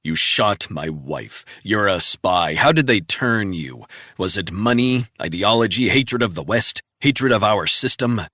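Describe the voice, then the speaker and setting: A man's voice: fairly low pitch, expressive, measured pace, bright, forceful tone, no room sound, studio quality, heavily acted. A man doing a terse, cold male agent.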